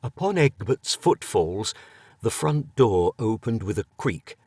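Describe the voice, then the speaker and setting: A man reading an audiobook in a measured way with dry humour, close on the mic. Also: on the low side, very animated, darkish tone, slightly rough, dry recording. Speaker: audiobook narrator. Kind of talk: scripted narration.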